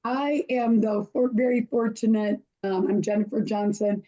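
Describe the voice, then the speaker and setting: A woman with a hoarse voice hosts an online show over a video call. She talks in a casual, rambling way and often restarts her sentences. Her pitch sits in the middle, and the call audio is dull and lo-fi. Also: fairly even intonation, moderate pace, dark tone. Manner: chatty, loses her place and restarts. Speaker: host.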